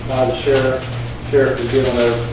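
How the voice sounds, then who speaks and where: Soft-spoken man in echoey room. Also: medium pitch, monotone. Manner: soft-spoken, halting, many fillers. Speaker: sheriff's crime investigator. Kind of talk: classroom talk with slides. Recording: echoey room, mic far off, noisy.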